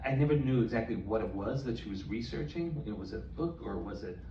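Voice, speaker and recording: A man's voice: mid-range voice, lively intonation, fast, mellow, highs cut off. Man asking questions from the audience. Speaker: audience member. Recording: echoey, distant, noisy room recording.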